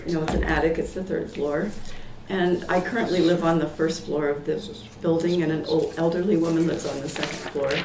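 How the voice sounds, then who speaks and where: A woman's voice: sits low, steady tone, a little fast, few pauses, far from the mic. A woman in her sixties, from Portland, Oregon, who is losing her voice, explaining her plans in a rambling, self-correcting way at a public meeting. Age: 60s. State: losing her voice, clearing her throat. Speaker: applicant presenting a plan at a public meeting. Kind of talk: unscripted explanation with many fillers. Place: community meeting room, indoors. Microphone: table microphone, some distance off. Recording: echoey room, voice away from mic, faint noise.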